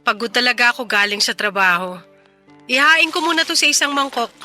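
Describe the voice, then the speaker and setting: High-voiced, bright, overworked mother. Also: lively intonation, brisk, soft background music. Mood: weary, brisk. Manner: weary, scolding, emotive. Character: tired, overworked single mother, impatient but caring. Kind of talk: Tagalog radio drama acting.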